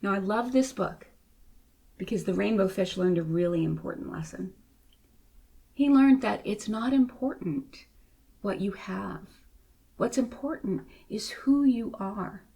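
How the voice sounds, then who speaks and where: A woman reading to children as a storyteller, with expressive narration. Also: mid-range voice, a little slow, creaky, breathy edge. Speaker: storybook reader.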